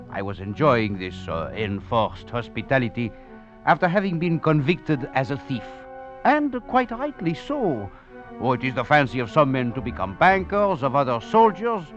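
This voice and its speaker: A man's voice: mid-range voice, natural voice. An actor playing an urbane, sardonic French master thief who tells his own tale with courtly, theatrical wit in a 1940s radio drama. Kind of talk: 1940s radio drama acting, first-person narration. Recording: muffled old radio recording with faint music.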